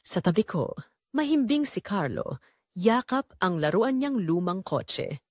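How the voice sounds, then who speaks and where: A woman's voice: mid-range voice, sing-song, unhurried, breathy edge, dry recording, studio quality. A woman delivering emotive, confessional first-person narration for a scripted audio drama.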